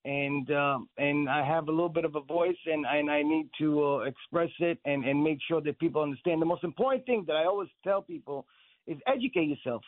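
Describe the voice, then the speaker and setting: Male guest on a phone line, Israeli-born, insistent and earnest, slightly high voice, dry and tinny. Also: fairly quick, no echo. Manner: earnest, insistent, repeats words when pressing a point. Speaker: guest, actor and director. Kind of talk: phone-in radio interview.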